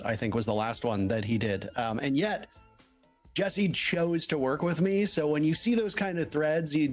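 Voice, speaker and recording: A man from Canada commentating live esports, teasing his co-caster and then building to excited hype. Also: mid-range voice.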